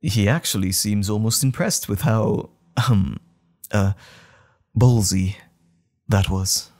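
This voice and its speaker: A man playing a gentle, troubled doctor in an audio drama, speaking earnestly and formally with some hesitation. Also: fairly low pitch, slow. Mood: hesitant, grateful. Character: gentle, troubled, apologetic doctor with a wild alter ego.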